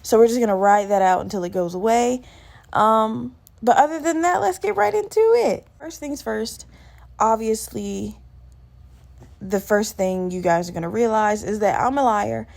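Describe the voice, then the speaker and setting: A woman hosting a casual solo podcast, chatting in a rambling, candid, self-deprecating way with a slightly gravelly, just-woken-up voice. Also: fairly high pitch, normal speed, a little mellow. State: just woke up. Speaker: podcast host.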